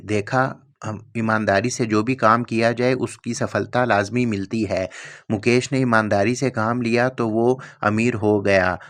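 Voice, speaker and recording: A man's voice: lowish voice, fairly bright tone. A man narrating a cartoon moral story and speaking directly to the viewers. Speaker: narrator and voice of all the male characters.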